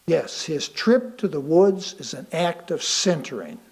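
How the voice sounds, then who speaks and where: An American man with a high voice delivers a written sermon. His pace is slow and deliberate, and he leaves pauses to let key phrases land. He puts rhetorical questions to his listeners as he reads. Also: very animated, darkish tone, breathy edge. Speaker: lay reader delivering a sermon. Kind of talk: sermon read aloud to a congregation.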